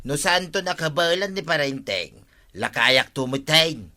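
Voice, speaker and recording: Bright, high-voiced loyal village man. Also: animated, a little slow, exaggerated voice. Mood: protesting, loyal. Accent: Filipino, Ilocano. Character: loyal village man, captain's steadfast buddy. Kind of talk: Ilocano radio comedy-drama acting.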